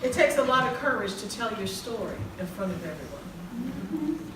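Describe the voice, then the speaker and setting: A woman acting as an encouraging self-help counselor in a stage play, speaking in a measured, preachy way to her group. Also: on the high side, animated, close to an everyday voice. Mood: warm, welcoming. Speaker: counselor and author leading a support group. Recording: echoey hall, far mic, clear background noise.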